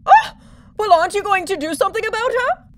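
Shrieking, very high opera diva. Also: female voice, expressive, fast. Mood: panicked. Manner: theatrical, shrill, operatic. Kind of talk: audio drama acting. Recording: crowd commotion behind.

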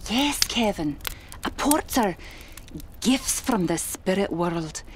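A woman's voice: medium pitch, lively intonation, slow pace, background noise. A woman playing a commanding, mysterious spirit medium of the 1920s in a horror audio drama, with formal, theatrical diction. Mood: solemn, instructive. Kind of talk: dramatic horror podcast acting.

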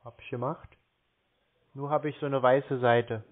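A man in a phone conversation, halting and grumbling as he stalls and is slow to follow. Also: medium pitch, fairly even intonation. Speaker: scam baiter posing as a victim on a call. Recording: narrowband telephone-like sound.

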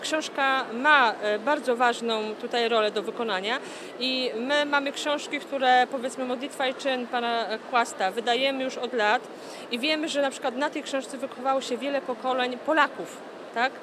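A woman's voice: high-pitched, fairly even intonation, normal speed. A woman in her thirties, a nun, answering an interview at a book fair stand, earnest and full of hesitant fillers. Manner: earnest, many "e" fillers and "tak?" tags. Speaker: nun from a publishing house. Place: busy indoor book fair hall. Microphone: handheld interview mic. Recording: noisy book fair hall.

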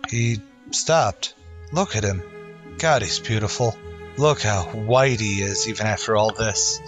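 A man's voice: lowish voice, a little slow, soft background music, natural voice. A man playing a heroic, resolute masked cowboy in an old-time radio western, earnest and dramatic. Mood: earnest, moved.

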